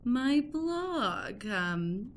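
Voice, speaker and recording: A woman's voice: on the high side, very animated, extremely slow, a little hiss or hum, exaggerated voice. A woman playing an eager, awkward amateur journalist in an improvised radio play, hesitant and prying in a polite way. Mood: nosy, flustered.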